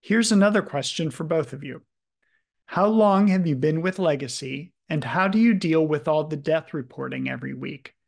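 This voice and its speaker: A man's voice: fairly high pitch, moderate pace, vocal fry. A man working as a video producer, reading out audience questions in an easygoing, conversational way.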